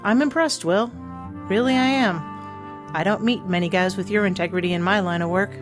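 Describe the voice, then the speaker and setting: A woman's voice: mid-range voice, fairly quick, natural voice. Flirty, sincere part-succubus heroine, woman actor, mid-range female voice, fantasy audiobook, light music underneath. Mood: gentle, sincere. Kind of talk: dramatized audiobook dialogue.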